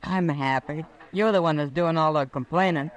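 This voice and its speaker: Actress as frontier saloon woman, smooth voice, worldly, wry, knowing, vintage radio Western. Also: sits low, moderate pace, lightly acted, low-quality recording. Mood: wry, teasing. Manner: dry, knowing, teasing. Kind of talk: old-time Western radio drama acting. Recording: vintage radio broadcast recording.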